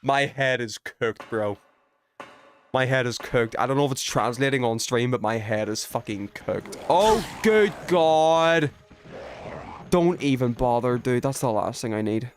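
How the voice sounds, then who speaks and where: A man's voice: mid-range voice, animated, measured pace, a little hiss or hum. A man live streaming a video game with casual, slangy commentary full of swearing.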